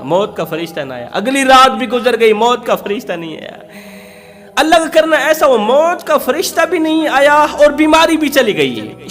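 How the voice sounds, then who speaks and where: A man's voice: extremely high pitch, fairly bright tone. A man preaching an emotional Islamic moral story, dramatically, with emphatic repetition.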